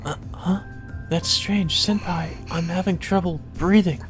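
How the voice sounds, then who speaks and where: A sick young woman from a visual novel, played by a man, speaks in a soft, high, hesitant voice. She is meek and polite, yet stubborn about being fine. Faint music plays behind the voice. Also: steady tone, unhurried. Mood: faint, dazed.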